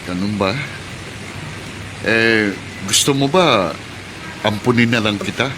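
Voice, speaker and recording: A man playing a gruff but kindhearted taxi driver in a radio drama, talking in a casual, blunt, teasing way. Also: lowish voice, takes it slowly. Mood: kindly. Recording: clear vehicle noise behind.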